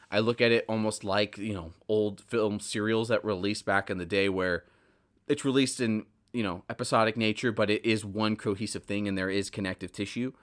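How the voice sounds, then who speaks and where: A man's voice: fairly low pitch, clear, resonant tone. A man hosting a solo podcast in a casual, candid, rambling monologue, often interrupting and correcting himself. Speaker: podcast host, audio drama writer.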